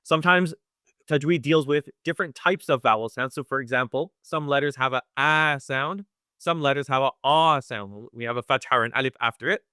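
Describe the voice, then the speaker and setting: A man teaching Quran recitation in a webinar, talking conversationally, often checking in with "right?" and sounding out recitation slowly. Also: medium pitch, sharp tone, smooth, no room sound, studio quality. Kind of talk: live webinar lesson.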